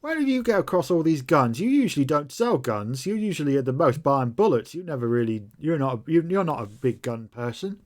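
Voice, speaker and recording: A man voicing a gruff male mutant gun runner who talks bluntly, swears a lot and rambles in a tabletop game. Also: fairly high pitch, big pitch swings, brisk, hardly any pauses, darkish tone. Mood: businesslike.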